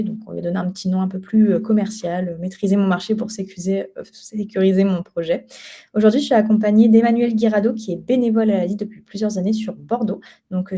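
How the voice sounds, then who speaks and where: A young woman presents a live webinar from her office, with a very mellow, dark-toned voice. She talks in a relaxed, conversational way and often pauses with fillers. Her pitch sits in the middle. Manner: conversational with frequent hesitations. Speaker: project officer and webinar co-host. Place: office at headquarters.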